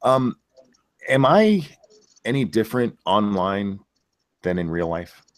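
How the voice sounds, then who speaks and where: Man, very low pitch, animated intonation, blunt, crude, casual livestream talk. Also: slow pace. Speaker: livestream host. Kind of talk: casual chat.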